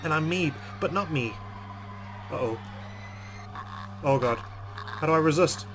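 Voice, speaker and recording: A man's voice: fairly high pitch, a little slow, noisy background. A man hosting a let's play, reading a visual novel's text aloud dramatically and adding his own commentary.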